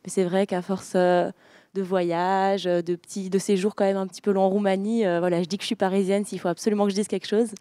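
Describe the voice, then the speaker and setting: Hesitant young French woman, mellow voice. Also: medium pitch, little pitch movement, fast, no room sound. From France. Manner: hesitant, many fillers and restarts. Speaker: author guest. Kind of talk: interview conversation.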